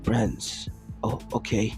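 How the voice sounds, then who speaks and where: A man voicing a kindly female fox spirit in a read-aloud fan story, speaking low and soft. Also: flat delivery, slow pace, faint music behind. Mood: gentle.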